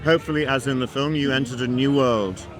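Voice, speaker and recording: A man at a film premiere describing the making of the film in a measured, thoughtful way. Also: medium pitch, fairly even intonation, music in the background. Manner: measured, thoughtful, some restarts. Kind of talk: premiere interview. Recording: loud crowd noise behind.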